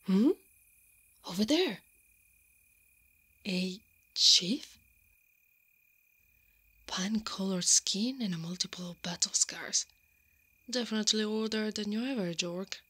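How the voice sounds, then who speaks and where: Breathy, sardonic lady knight, acted by a woman. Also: medium pitch, slow pace, pauses often, pushed and bright, full character voice. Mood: watchful, hushed. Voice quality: breathy, often dropping to a whisper. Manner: muttering to herself, sardonic. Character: brave, brash tsundere female knight on a rescue. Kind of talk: ASMR roleplay acting.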